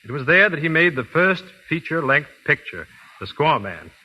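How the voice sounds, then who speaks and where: A man's voice: a little high, big pitch swings, normal speed, sharp tone, low-quality recording. A man speaking earnestly and politely as a trainer guest in a scripted 1930s radio interview. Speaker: guest physical trainer and product endorser. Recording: vintage 1930s radio broadcast.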